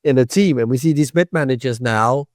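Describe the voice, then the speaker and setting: Man, podcast host, emphatic, lively, dry close sound. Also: medium pitch, fairly quick, dry recording. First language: Danish. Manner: animated, emphatic, checks in with tag questions. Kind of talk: conversational interview.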